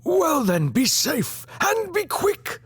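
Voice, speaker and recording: An actor playing a jolly, hearty old Santa-like pony, speaking slowly and theatrically in an audiobook drama. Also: high voice, huge pitch swings, dry recording, full character voice. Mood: jolly, warm. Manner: slow, theatrical, rhyming, chuckling.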